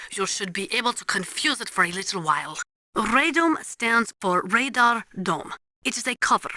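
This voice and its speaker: An actress playing a serious military weapons analyst in a video game, speaking in a precise, matter-of-fact way. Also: female voice, fairly high pitch, bright, forceful tone, dry recording, clean studio sound.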